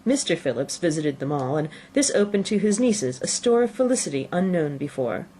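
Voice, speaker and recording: A woman's voice: on the low side, big pitch swings, a little fast, dark tone, slight background noise, low-quality recording. A woman reading a classic novel aloud as scripted audiobook narration. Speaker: audiobook reader.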